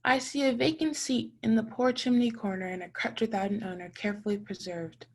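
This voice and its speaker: A woman's voice: a little high, fairly even intonation, fairly quick, a little mellow. A young woman acting a stern, scornful spirit in a radio play, with solemn, declamatory delivery. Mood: grave, scornful.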